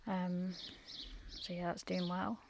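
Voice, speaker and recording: Croaky uk woman whispering a garden tour, lowish voice, loud birdsong behind. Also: level tone, slow pace. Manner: hushed, half-whispered talk. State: croaky, just woken up. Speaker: gardening vlogger. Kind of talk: quiet casual garden tour. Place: back garden outdoors, early morning. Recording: noisy outdoor recording with birdsong.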